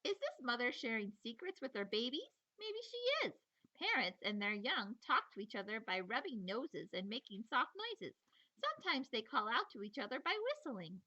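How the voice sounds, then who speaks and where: Woman, teacher reading to young children, bright forceful voice, lively storytelling, playful delivery, dull lo-fi recording. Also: on the high side, moderate pace. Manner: expressive storytelling with playful sound effects. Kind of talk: read-aloud of a children's nature book with chatty asides.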